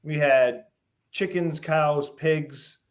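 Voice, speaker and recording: A middle-aged man from Western Massachusetts, a guest on an interview show, telling stories in a relaxed way with many pauses and lists. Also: on the high side. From Western Massachusetts, USA. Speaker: guest, fish farm founder. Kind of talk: casual interview.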